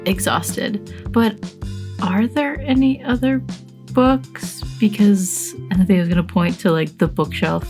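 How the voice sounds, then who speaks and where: A woman's voice: medium pitch, slow pace, darkish tone, faint music behind. A woman playing in a tabletop game, easygoing and laid-back. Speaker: player.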